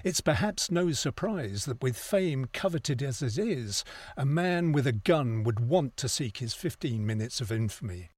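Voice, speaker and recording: A man's voice: medium pitch, animated, a little slow, darkish tone, no room sound. A man playing a scathing, articulate cultural critic debating on a broadcast news panel in an audio drama. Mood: earnest, critical. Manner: articulate, opinionated.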